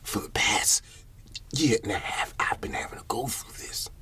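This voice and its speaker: A man playing a paranoid, troubled older Southern farmer in an ASMR roleplay, whispering haltingly and repeating his words as he rambles. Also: on the low side, bright, forceful tone. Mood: uneasy, bewildered. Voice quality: breathy whisper.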